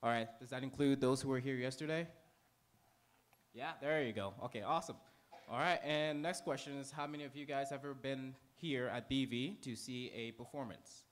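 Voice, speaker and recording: A man hosting a live theater pre-show, addressing the audience from the stage in an informal, halting way. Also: mid-range voice.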